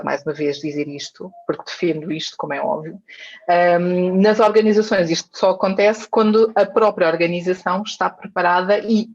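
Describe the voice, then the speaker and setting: A woman's voice: fairly low pitch, lo-fi sound. A woman from Angola, a guest in a casual conversation, speaking with hesitations and repeated words as she thinks. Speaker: guest, founder of a women's leadership organization.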